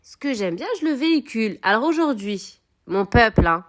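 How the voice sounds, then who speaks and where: A woman talking viewers through a home baking recipe, expressive and repeating words for emphasis. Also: on the high side, moderate pace. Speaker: home cooking vlogger. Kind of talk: chatty cooking tutorial.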